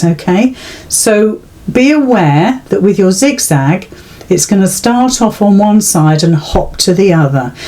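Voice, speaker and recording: A woman's voice: medium pitch, warm tone. A British woman giving an informal, step-by-step craft demonstration in a chatty, reassuring way. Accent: British English. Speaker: sewing tutorial host.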